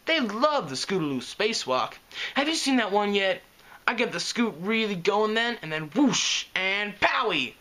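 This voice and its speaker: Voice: medium pitch, very animated, normal speed, bright, forceful tone, pitched above the performer's own voice, poor audio. A reader voicing a cocky young pegasus filly who brags in a brash manner. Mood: boastful. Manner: boastful, brash.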